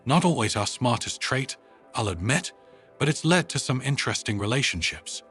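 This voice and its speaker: A man's voice: mid-range voice, sing-song, moderate pace, fairly bright tone, faint music behind. A man voicing a wry, good-humoured woman space commander, explaining casually in a narrated sci-fi story. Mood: sheepish, amused.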